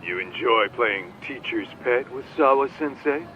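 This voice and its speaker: An actor playing a brash, teasing detective's sidekick in a video game, sardonic and slangy. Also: male voice, mid-range voice, expressive, measured pace, highs cut off, natural voice. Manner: sardonic, rough slangy talk. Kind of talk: video game dramatic acting.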